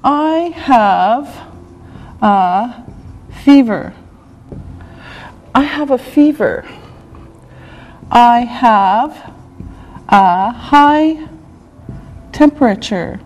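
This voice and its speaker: Very slow, high-voiced woman teaching English. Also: lively intonation, rough recording. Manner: slow, patient drilling with many repetitions; clear, carefully spaced enunciation. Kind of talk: classroom English lesson for learners.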